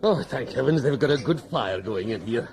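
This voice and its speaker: An actor playing a polite, talkative doctor, nervous but genial, in a radio drama. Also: male voice, mid-range voice, expressive, normal speed, background noise, natural voice. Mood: genial, curious. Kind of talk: BBC radio drama acting.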